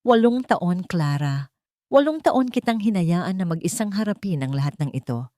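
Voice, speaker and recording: A woman's voice: lowish voice, expressive, moderate pace, dark tone, no room sound, clean studio sound, natural voice. A woman voicing an earnest, remorseful CEO father who pleads emotionally in an audio romance story. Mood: pleading, remorseful.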